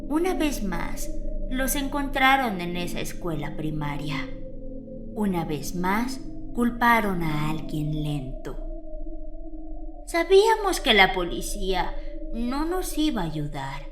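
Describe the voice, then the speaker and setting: Woman playing a slow, grim grandmother storyteller. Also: medium pitch, very animated. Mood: somber, hushed. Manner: measured, dramatic storytelling with weighty pauses. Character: elderly grandmother recounting a grim horror tale. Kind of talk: dramatic horror audio fiction narration. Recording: eerie music behind the voice.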